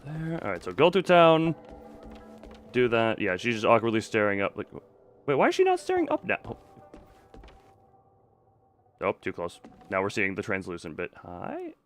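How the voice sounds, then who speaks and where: Male streamer, casual play commentary, lively intonation, stop-start wry delivery, light music underneath. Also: lowish voice, moderate pace, pauses often. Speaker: game streamer. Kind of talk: casual live game commentary. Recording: faint game music behind.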